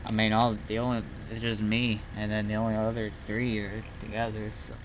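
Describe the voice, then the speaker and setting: A man with a fairly low voice talks casually while gaming. He sounds tired and rambles haltingly, letting sentences trail off. There is some light background noise and keyboard clatter. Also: monotone, low-quality recording. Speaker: streamer playing online roleplay game. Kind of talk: casual chat during gameplay.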